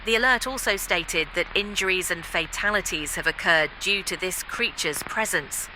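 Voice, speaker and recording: A woman's voice: on the low side, normal speed, background noise, close to an everyday voice. A woman playing a composed local TV news anchor, reading a scripted report. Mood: serious, measured.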